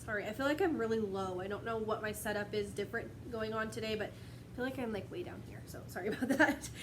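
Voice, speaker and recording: A woman's voice: mid-range voice, fast, noisy background. A young woman vlogging casually from home, chatty and rambling, a little stuffy from a cold, often laughing between thoughts. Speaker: wax melt vlogger. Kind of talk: casual chat to camera. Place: at home, indoors. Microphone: tablet camera.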